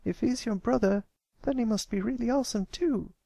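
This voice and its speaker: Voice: medium pitch, brisk, vocal fry, dark tone, no echo, pitched above the performer's own voice. A reader voicing a curious, loyal young filly in a story, earnest and questioning. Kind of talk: acted fan fiction reading.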